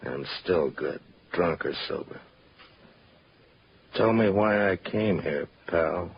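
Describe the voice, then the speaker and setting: An actor with an American accent playing a sneering, taunting killer gangster in 1940s radio noir style. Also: male voice, deep voice, extremely slow, creaky, pauses often, full character voice. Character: menacing, taunting male killer gangster with a gun. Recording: muffled, noisy old radio recording.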